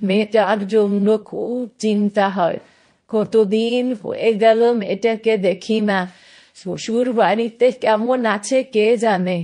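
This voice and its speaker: A woman's voice: medium pitch, steady tone, a little slow, darkish tone. A woman voicing a worried elderly village mother in a Bengali cartoon.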